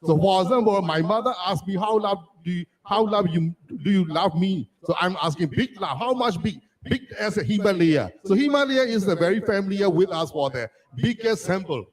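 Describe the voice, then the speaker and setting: A man from Myanmar whose first language is Arakanese, telling a childhood story as a panelist in halting, restarting English. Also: high voice, animated, poor audio. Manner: halting, restarts sentences, non-native phrasing. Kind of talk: personal story at a panel discussion.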